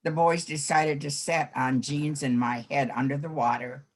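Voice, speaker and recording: An 89-year-old American woman reminiscing in a group interview, with halting, deliberate phrasing. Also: low voice, fairly even intonation. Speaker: former scout troop helper.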